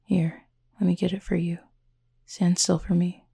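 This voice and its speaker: A woman playing a gentle, formal cupid roommate in an intimate roleplay audio, speaking softly and breathily with measured, teasing politeness. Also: fairly low pitch, level tone, exaggerated voice. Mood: tender, calm. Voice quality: soft, breathy, slightly husky. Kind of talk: intimate roleplay audio acting, addressed to listener.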